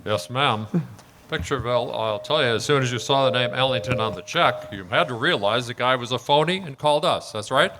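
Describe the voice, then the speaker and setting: A polite police detective played by a man in a radio drama. His voice is mid-pitched, and he questions in a plainspoken, probing way. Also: brisk, close to an everyday voice. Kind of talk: live radio play acting.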